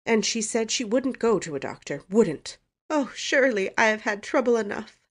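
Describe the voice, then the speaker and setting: A woman's voice: medium pitch, measured pace, mellow, voice pushed up, lightly acted. Woman acting a tearful, long-suffering mother. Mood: tearful, plaintive. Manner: moaning, plaintive.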